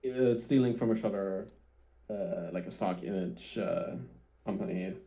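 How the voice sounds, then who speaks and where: Hesitant man with a dark voice. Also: deep voice, a little slow. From Austria. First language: German. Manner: hesitant, many uhs.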